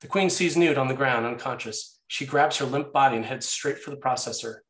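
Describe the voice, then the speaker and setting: A man's voice: medium pitch, fairly quick, a bit bright. A man narrating screenplay action and scene headings as a scripted read. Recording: echoey room sound.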